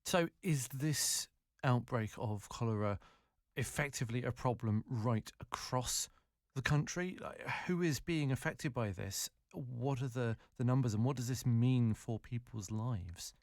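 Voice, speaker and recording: Slow-paced male radio interviewer, dry sound. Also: mid-range voice, darkish tone, dry recording.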